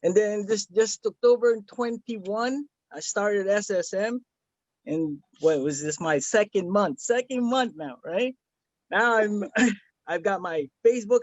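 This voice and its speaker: A man's voice: sits high, a little slow, no room sound, lo-fi sound. A man from the Philippines giving an earnest, rambling testimonial over a video call, with many fillers and restarts. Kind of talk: casual video-call chat.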